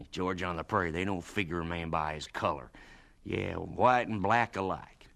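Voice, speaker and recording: An actor playing a gruff but kindly farmer and boss in a radio drama, blunt, folksy and emphatic. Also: male voice, sits low, moderate pace, fairly bright tone. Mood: earnest, confiding.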